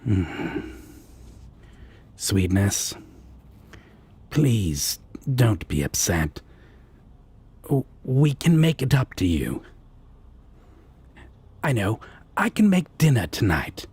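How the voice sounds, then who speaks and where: A man acts out a demon roommate with a very husky, rough voice that sits low. He drawls very slowly and dryly, exasperated by his rival yet fond of the one he lives with, in an audio drama style. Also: big pitch swings, a little mellow, heavily acted. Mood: pleading. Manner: slow, dry drawl with pet names. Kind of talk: audio roleplay acting.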